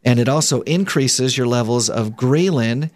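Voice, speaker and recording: A man's voice: medium pitch, normal speed, a little mellow, no echo. A man hosting a radio show in a studio, chatting casually with plenty of fillers, restarts and easy laughter. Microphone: studio microphone.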